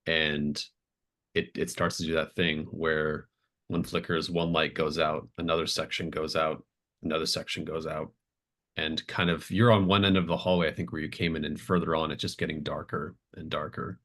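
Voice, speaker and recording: A man's voice: extremely low pitch, flat delivery, moderate pace, a bit bright. A man narrating a tabletop game as game master, improvising in a casual, hesitant, thinking-aloud way. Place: remote online group call.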